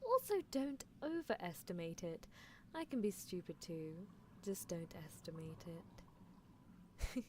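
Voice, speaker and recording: A woman's voice: fairly low pitch, very animated, measured pace, a little hiss or hum. A woman playing a possessive, coolly unethical female mad scientist in a live ASMR roleplay, coaxing the listener with theatrical delivery. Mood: teasing, sly. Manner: languid, theatrical, coaxing, with soft chuckles.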